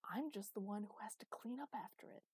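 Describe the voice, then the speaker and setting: A woman's voice: medium pitch, brisk, darkish tone, breathy edge, no echo, studio quality, voice pushed up, lightly acted. A woman voicing an ancient, imperious female underworld ruler, bitter and sardonic, in a dramatized story. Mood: furious, exasperated. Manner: bitter, sardonic, hissing outbursts.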